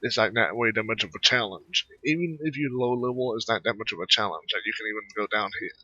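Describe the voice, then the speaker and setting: Low, bright-voiced man rambling over gameplay. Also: breathy edge, no echo, highs cut off. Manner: rambling, hesitant, halting delivery. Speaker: gamer narrating own gameplay. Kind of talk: casual gameplay commentary.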